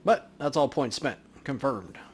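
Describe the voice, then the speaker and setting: A man's voice: mid-range voice, lively intonation, unhurried, slight background noise. A man hosting a let's play, giving casual, laid-back gaming commentary as he thinks aloud.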